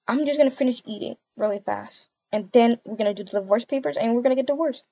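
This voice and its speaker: A woman's voice: on the high side, fast talker, mellow, no room sound, muffled recording. A woman voicing a betrayed, indignant and bossy wife in an amateur game roleplay. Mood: bitter, curt. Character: furious betrayed wife demanding divorce. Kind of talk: amateur voiced Roblox roleplay.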